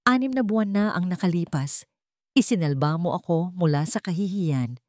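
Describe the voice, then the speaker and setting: A woman's voice: lowish voice, lively intonation, normal speed, a little mellow, no echo, clean studio sound, close to an everyday voice. A woman voicing a devoted, determined woman in love in a romance story, with earnest emotion. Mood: tender, emotional.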